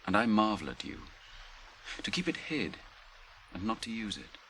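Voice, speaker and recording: An actor in a radio drama playing a noble, grave captain, proud yet merciful, with formal, archaic, theatrical delivery. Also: male voice, lowish voice, huge pitch swings, moderate pace, a bit bright, heavily acted. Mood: gentle, solemn. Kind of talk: 1980s radio drama acting. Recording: radio drama with clear background noise.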